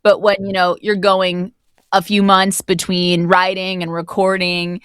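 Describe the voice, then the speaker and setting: A woman's voice: lowish voice, little pitch movement, measured pace, clean tone, dry recording, studio quality. A woman speaking casually as an interview guest who narrates audio drama, explaining things in a reflective way.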